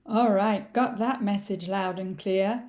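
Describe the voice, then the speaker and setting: A woman's voice: mid-range voice, fairly even intonation, slow pace, a little mellow. A woman hosting a podcast, from Quebec and a native French speaker, speaking upbeat and straight to her listeners. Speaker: podcast host. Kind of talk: podcast interview and promotional outro.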